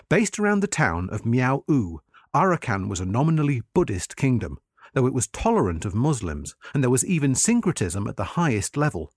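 Male narrator for long-form article, scripted documentary read, lively melody, dry recording. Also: mid-range voice, dry recording.

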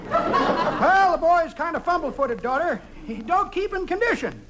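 A man's voice: very high voice, very animated, a little slow, heavy background noise, heavily acted. An actor playing a chipper, meddling old man with a folksy, drawling way of talking in vintage radio comedy. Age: older. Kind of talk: 1940s radio comedy acting.

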